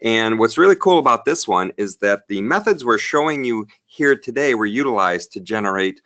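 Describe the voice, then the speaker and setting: A man's voice: on the low side, animated, moderate pace, a bit bright, dull audio. A man taking over a live sales webinar, enthusiastic and hyped-up as he presents.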